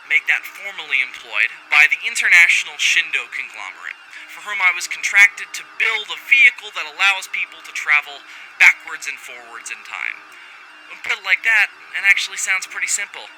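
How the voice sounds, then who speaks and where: A man's voice: a little high, animated, moderate pace, sharp tone, rough recording. A man playing a foul-mouthed, rebellious scientist in a sci-fi audio drama, delivering a rambling, wry and theatrical recorded log that keeps interrupting itself. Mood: cocky, defiant. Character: brash, foul-mouthed rogue male scientist, giddy and rebellious. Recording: faint background alarm and machinery noise.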